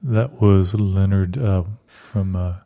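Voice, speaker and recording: A man improvising deadpan comic banter in a dry, understated manner. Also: sits low, takes it slowly, creaky. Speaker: improv comedy performer.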